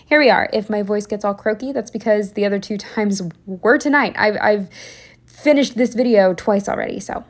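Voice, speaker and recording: A woman's voice: mid-range voice, normal speed. A young woman giving a chatty, rambling voiceover late at night, tired, with self-deprecating asides. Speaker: planner vlogger. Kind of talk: casual voiceover narration.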